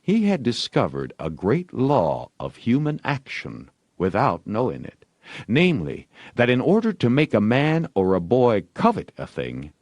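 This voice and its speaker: A man reading scripted storybook narration in a deliberate, expressive way. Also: mid-range voice, no room sound. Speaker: audiobook narrator.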